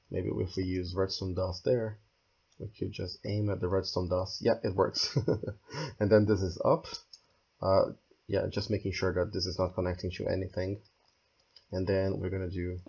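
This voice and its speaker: A hoarse man who is losing his voice, walking through a game build step by step and thinking aloud as he goes. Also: deep voice, darkish tone, muffled recording. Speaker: game tutorial creator. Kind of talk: screen-recorded building tutorial.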